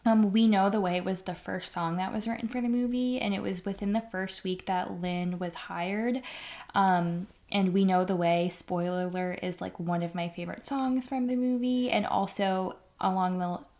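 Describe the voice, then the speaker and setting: Casual podcast co-host, woman, mid pitch with some fry, easygoing chatter. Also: fairly even intonation, moderate pace, a little mellow. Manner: chatty, many ums, laughs lightly. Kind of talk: casual movie podcast chat.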